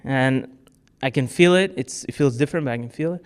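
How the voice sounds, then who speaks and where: A man's voice: mid-range voice, a little fast, smooth. A man from Portugal giving a talk to a club audience, answering questions in a casual way with many fillers and restarts. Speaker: speaker. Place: club meeting room.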